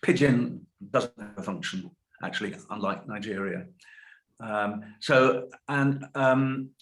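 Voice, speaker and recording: A man's voice: on the low side, slow pace, fairly bright tone, low-quality recording. A man in his sixties, a guest lecturer answering audience questions over a video call, thoughtful and hedging as he corrects himself.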